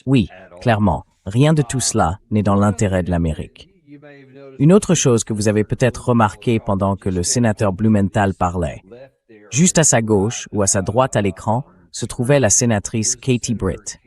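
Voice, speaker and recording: A man's voice: fairly low pitch, moderate pace, a little mellow. A man's synthetic AI dubbing voice hosting a political news discussion and introducing clips. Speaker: host.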